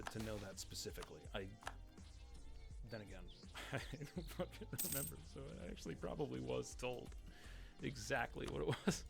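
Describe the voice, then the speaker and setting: A man with a fairly deep-set creaky voice rambles through a casual Let's Play. He interrupts himself, swears freely and swings between excitable outbursts and muttered asides. Music plays behind him. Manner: rambling, self-interrupting, profane. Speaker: Let's Play gamer and commentator. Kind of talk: casual game commentary with dramatic readings of game text.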